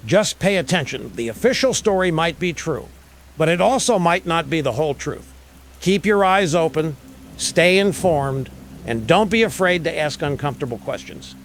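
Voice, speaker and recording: A man's voice: on the high side, lively intonation, normal speed, a little hiss or hum. A man playing an earnest, distrustful skeptic, speaking insistently as a talk show guest in an audio drama. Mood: earnest, warning.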